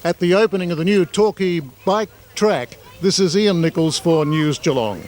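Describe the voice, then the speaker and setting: A man's voice: high-pitched, normal speed, darkish tone. An Australian man reporting a local news story in an upbeat, scripted style.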